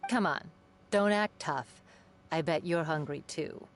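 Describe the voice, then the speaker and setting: A woman's voice: low voice, measured pace, a little hiss or hum. A woman voicing a teasing off-duty female guard in a game. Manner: teasing, relaxed.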